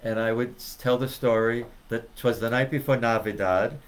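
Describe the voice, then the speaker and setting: A slightly hoarse man co-hosting a casual chat, telling stories in a jovial way and laughing often. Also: medium pitch, fairly even intonation, moderate pace. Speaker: co-host. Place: South Florida.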